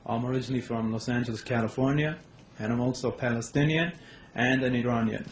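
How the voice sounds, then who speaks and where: A man's voice: mid-range voice, fast. A young man from the Middle East giving an earnest, slightly nervous opening presentation at his first public debate. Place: live debate hall. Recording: echoey hall, faint background noise.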